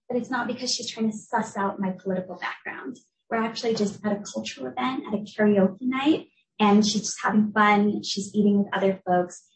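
A young woman from the United States giving an academic talk as a researcher, explaining in a clear, measured way. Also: mid-range voice. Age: young adult. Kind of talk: academic lecture. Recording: echoey room, voice from a distance.

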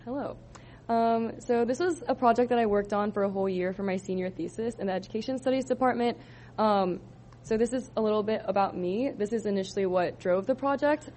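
A woman's voice: mid-range voice, fairly quick, a little mellow, a little hiss or hum. Young woman from socal giving a thesis talk, lots of um and like, casual. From Southern California. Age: 20s. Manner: casual, many ums and likes. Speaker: student presenter. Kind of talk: thesis presentation to a live audience.

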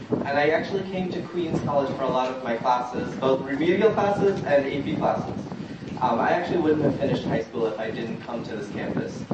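A man from Guyana speaking informally as a panelist at a community forum, addressing the audience directly in a conversational way. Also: mid-range voice. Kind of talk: informal public panel speech. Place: large echoey indoor event room. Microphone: distant microphone. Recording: very echoey, noisy, muffled, distant room recording.

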